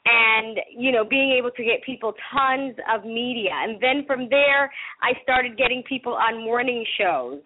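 High-voiced enthusiastic woman over a phone line. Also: moderate pace. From Panama. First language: Spanish. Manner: enthusiastic, talkative. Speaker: interview guest, entertainment entrepreneur. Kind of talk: promotional interview talk. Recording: muffled narrow-band phone line.

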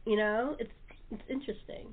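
A woman's voice: on the high side, fairly even intonation, normal speed. A woman hosting a casual panel interview, talking informally with her guests. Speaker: talk show host. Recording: phone-like, narrow-band audio.

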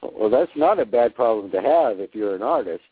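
Male host, low voice, polished delivery, tinny audio. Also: animated, moderate pace, slightly rough. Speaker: radio show host. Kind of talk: scripted intro then interview questions. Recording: tinny phone-like audio with faint music.

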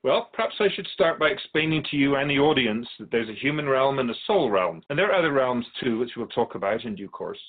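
A man playing an otherworldly, patient keeper of souls in a radio drama, speaking in measured, formal phrases with gentle questions. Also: medium pitch, expressive, lightly acted. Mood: patient, explaining. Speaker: guest on a radio show. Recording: thin phone-like band-limited audio.

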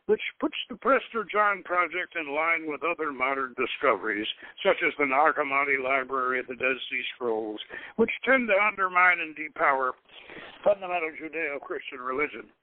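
A calculating spymaster director in an audio novel, voiced by a male reader. He explains with measured authority in a fairly high pitch, over a tinny, dry radio-like line. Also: lively intonation, no echo, close to an everyday voice. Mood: formal. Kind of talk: dramatic novel reading.

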